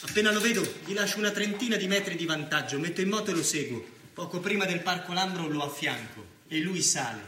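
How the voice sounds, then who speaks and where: An actor playing a sober, dogged newspaper journalist, narrating in measured, clipped phrases as theatre. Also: male voice, high voice, lively intonation, slight background noise. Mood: watchful. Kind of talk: theatrical first-person narration in present tense. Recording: roomy stage sound with loud music. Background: music.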